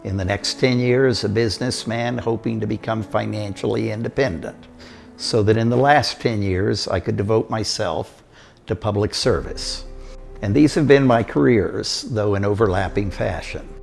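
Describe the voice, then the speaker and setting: An older man from Costa Rica, telling his life story in a measured, steady delivery. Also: lowish voice, vocal fry, soft background music. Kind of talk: prepared autobiographical narration.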